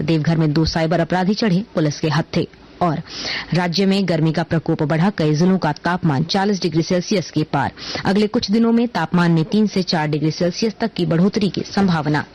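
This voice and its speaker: A woman reading a scripted radio news bulletin in a formal, measured announcer's manner. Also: on the low side, a little mellow.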